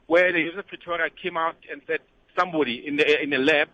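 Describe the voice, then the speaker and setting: A man with a South African accent calling a radio show, giving his opinion in a halting way and repeating words as he goes. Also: on the high side, steady tone, no echo. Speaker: phone-in caller. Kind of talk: conversational opinion. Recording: dull band-limited call-in line.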